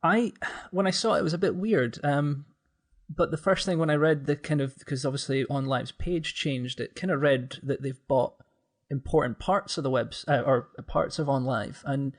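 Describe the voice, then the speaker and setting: A man's voice: fairly high pitch, normal speed. A man speaking as a guest in a casual podcast conversation, in a reflective, hedging way.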